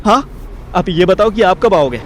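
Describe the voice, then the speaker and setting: A man's voice: sits high, animated, normal speed, slight background noise. An actor playing a reluctant, sarcastic, foul-mouthed young man who grumbles and wisecracks in a Hindi audio drama. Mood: wry.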